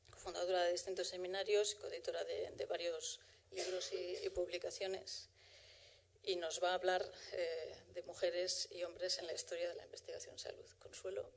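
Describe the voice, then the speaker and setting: A woman moderating a conference, introducing the day and its first speaker in a measured way with frequent restarts. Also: fairly low pitch, flat delivery, a little hiss or hum. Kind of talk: formal event introduction.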